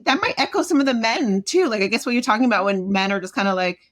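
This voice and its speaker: A woman from Jamaica, a self-esteem expert, talking as a podcast guest in an expressive way, acting out quoted voices and laughing often. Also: a little high, fast talker. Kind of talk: animated podcast conversation.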